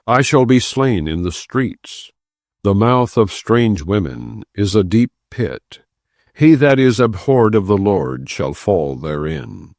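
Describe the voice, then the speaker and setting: Deep, slow, gravelly male scripture narrator. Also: expressive, darkish tone, no room sound, studio quality. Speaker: audiobook narrator. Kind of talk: scripted scripture reading, non-dramatized.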